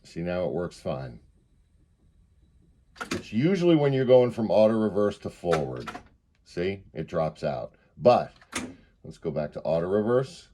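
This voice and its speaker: A man's voice: deep voice, big pitch swings, unhurried, pauses often, dark tone, a little hiss or hum. A man giving a casual hands-on product demonstration, explaining step by step and thinking aloud. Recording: music playing in the background.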